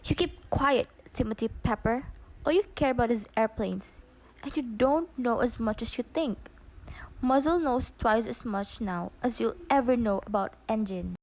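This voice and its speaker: A woman's voice: on the high side, moderate pace, a little mellow, close to an everyday voice, poor audio. A woman in an amateur radio play acting a pleading, dramatic teenage daughter who is boy-crazy. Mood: indignant. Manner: pleading, melodramatic.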